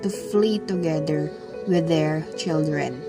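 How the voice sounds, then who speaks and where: Woman narrator, low and very slow, music bed underneath. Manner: slow, measured storytelling. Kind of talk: scripted narration.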